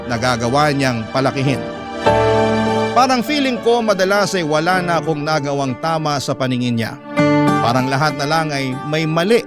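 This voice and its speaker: A man's voice: on the high side, expressive, normal speed. A man with a Filipino accent reading a first-person letter as an emotional story narration. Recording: loud guitar music behind voice. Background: guitar music.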